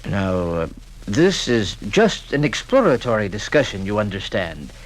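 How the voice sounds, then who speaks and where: A man's voice: on the low side, very animated, moderate pace, poor audio. A man playing a sly civic delegate in 1950s radio acting, hedging and hesitating evasively. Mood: cagey, conspiratorial. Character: sly, cagey male Saint Paul civic delegate.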